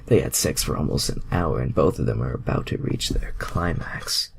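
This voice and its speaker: A man's voice: extremely low pitch, normal speed, gravelly, whispered. A man reading fan fiction aloud as a casual amateur narrator, stumbling over and misreading words.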